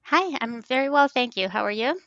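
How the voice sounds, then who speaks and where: A woman in her thirties from Romania, a guest on an interview, speaking in a measured way with frequent ums. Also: on the high side, expressive, fairly bright tone, no echo, highs cut off. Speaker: data scientist guest. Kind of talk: conversational interview.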